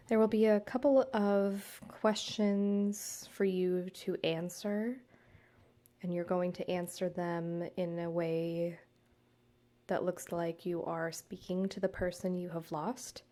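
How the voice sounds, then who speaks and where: A woman guiding a meditation, speaking to the listener in a soothing, measured way with deliberate pauses. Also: lowish voice, steady tone, mellow. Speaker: guided meditation host, counselor and yoga teacher. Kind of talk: gentle guided meditation narration, addressed to the listener.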